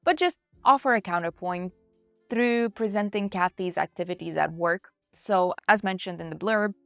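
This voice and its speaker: A woman's voice: medium pitch, a bit bright, clean tone, dry recording. A woman hosting a book review in a chatty, conversational way, speaking directly to her viewers. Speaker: book reviewer and channel host.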